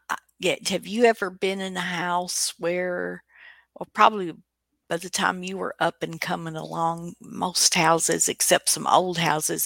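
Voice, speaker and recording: A woman's voice: lowish voice, gravelly, fairly bright tone, no echo, clean studio sound. A middle-aged or older American woman hosting a radio show in a casual, rambling, chatty way. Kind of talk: casual chat.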